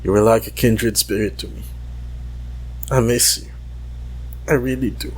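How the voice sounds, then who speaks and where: A man giving an intimate, emotional monologue in a halting, confessional way. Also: lowish voice, steady tone, a little mellow, rough recording.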